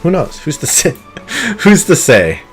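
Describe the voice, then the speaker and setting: A man streaming a game live, talking through it in an excitable, competitive way, with sudden gasps and pleading. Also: fairly high pitch, unhurried, faint music behind. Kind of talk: live gameplay commentary.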